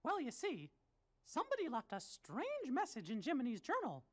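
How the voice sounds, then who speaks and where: A man voicing a small, kindly cartoon mouse king with a squeaky falsetto, earnest and encouraging in a game cutscene. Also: huge pitch swings, normal speed, no echo, heavily acted. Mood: earnest explaining.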